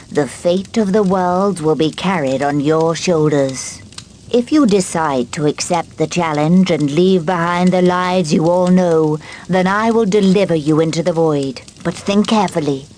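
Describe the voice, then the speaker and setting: Woman acting a solemn low-voiced mystic, game cutscene. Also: darkish tone, a little hiss or hum, natural voice. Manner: solemn, portentous, declamatory. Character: solemn female mystic guide foretelling prophecy.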